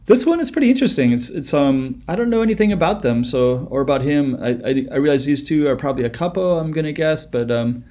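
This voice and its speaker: A man's voice: fairly high pitch, lively intonation, fast, few pauses, darkish tone. A man in his thirties hosting a music reaction video, talking casually and rambling with many fillers and restarts, close to a webcam indoors.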